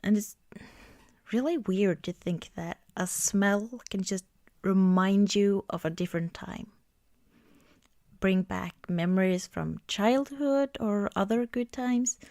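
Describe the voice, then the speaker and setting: Female ASMR performer, intimate close-mic roleplay, soft half-whispered delivery, leisurely pace, no room sound. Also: medium pitch, no echo. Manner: gentle, unhurried, often dropping into whispers. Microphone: close microphone, dry sound.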